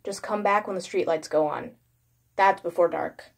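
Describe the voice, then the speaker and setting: Woman as an exasperated, low-voiced older brother. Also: normal speed, creaky. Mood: scolding. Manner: scolding, exasperated, slangy. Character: irritated, protective teenage older brother. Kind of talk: horror story narration with acted dialogue.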